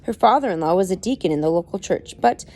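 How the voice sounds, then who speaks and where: A woman's voice: on the low side, expressive, fast talker, dark tone. A woman reading a book aloud expressively, with dramatic emphasis and informal explanatory asides. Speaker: parent reading aloud to children.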